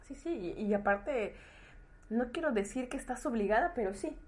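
A woman's voice: fairly high pitch, animated, normal speed, darkish tone. A woman with a Latin American accent hosting a podcast and interviewing in a casual, chatty way. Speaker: podcast host.

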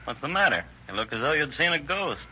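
Sharp-toned, skeptical radio detective. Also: male voice, fairly high pitch, big pitch swings, fast talker, exaggerated voice. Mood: pointed, pressing. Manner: crisp, questioning, authoritative. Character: shrewd, skeptical senior male police detective. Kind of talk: 1940s radio acting. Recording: old lo-fi radio recording with hiss.

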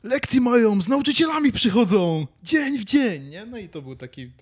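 A man's voice: high voice, big pitch swings, moderate pace, breathy edge. A young man talking casually as a podcast co-host, in a blunt, colloquial way. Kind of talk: casual chat, anecdote telling.

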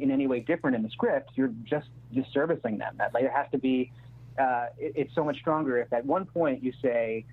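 A man speaks over a narrow, phone-like connection at an average pitch. He is a writer taking questions on a casual panel. He often stops and corrects himself and answers in an accommodating way. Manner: halting, self-correcting, conciliatory. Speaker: comedy screenwriter, interview guest. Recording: phone-like band-limited remote line.

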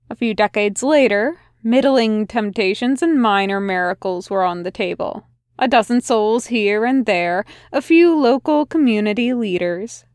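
A woman reading a fan fiction story aloud in a soft, unhurried narration. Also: on the high side, dry recording, studio quality. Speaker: podfic reader.

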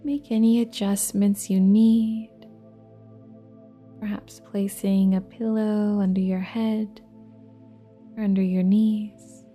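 A woman leading a guided relaxation meditation in a soft, gentle, breathy and soothing voice, leaving long pauses between phrases. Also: mid-range voice, flat delivery. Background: soft new-age music with singing bowls.

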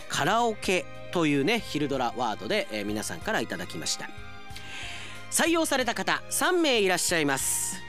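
Lively male radio announcer over music. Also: on the high side. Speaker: radio announcer, host and drama narrator. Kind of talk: radio presenting and scripted narration. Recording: music bed behind the voice.

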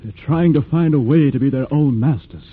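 A man's voice: medium pitch, fairly quick, husky, lightly acted. A man acting an earnest, fearless former slave and freedom fighter in a solemn, declamatory 1940s radio drama style. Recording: muffled, noisy vintage radio drama recording.

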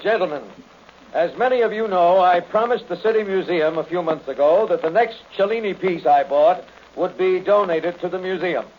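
An actor playing a blustery wealthy brewer and collector, formal and emphatic, in 1940s radio drama style. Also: male voice, high-pitched, moderate pace, close to an everyday voice. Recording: muffled, hissy old radio recording.

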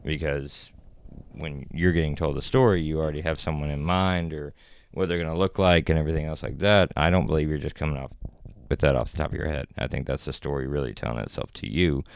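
Deep, gravelly male guest with a laid-back, rambling delivery on a close, dry podcast mic. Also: steady tone, quick pace, no echo. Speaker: actor and filmmaker guest. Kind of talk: casual podcast chat.

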